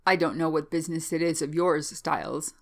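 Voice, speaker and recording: A woman's voice: sits low, a little fast, gravelly. A woman voicing a sceptical, level-headed young male medical student in an audiobook, dry and curt. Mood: dismissive. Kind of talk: audiobook character voice.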